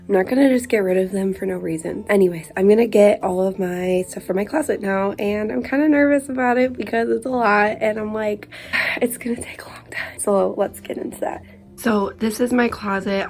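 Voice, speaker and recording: Young woman, casual lifestyle vlog, slightly worn voice after illness, lots of "like", mic at a distance, guitar music behind. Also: medium pitch. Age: 20s. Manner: chatty, filler-heavy with "like". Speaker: lifestyle vlogger. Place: bedroom at home.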